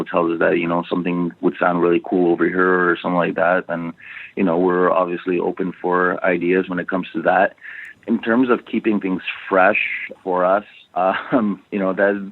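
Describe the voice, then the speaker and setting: A man from Poland, a band's bassist, answering interview questions casually with many "you know" fillers over a phone line. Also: no room sound. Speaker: bassist and backing vocalist, guest. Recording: tinny phone line, dry.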